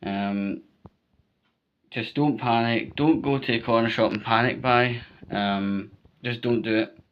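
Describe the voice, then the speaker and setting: A man's voice: medium pitch, fairly even intonation, slow, highs cut off, a little hiss or hum. A Scottish man giving informal, emphatic advice to viewers, repeating his points and often checking in with "right?". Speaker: vlogger giving storm safety advice.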